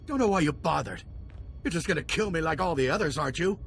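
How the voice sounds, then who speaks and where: Nervous, bitter prison guard. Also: male voice, a little high, animated, fast, gravelly, fairly bright tone, faint music behind. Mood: bitter, resigned. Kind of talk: game cutscene acting.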